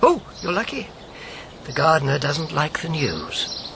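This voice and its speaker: A man's voice: a little high, very animated, a little slow, gravelly, very noisy, heavily acted. An actor playing a wistful, wry former secret agent in his seventies, courteous in a radio drama. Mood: dry, teasing. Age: 70s. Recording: radio drama with loud background music.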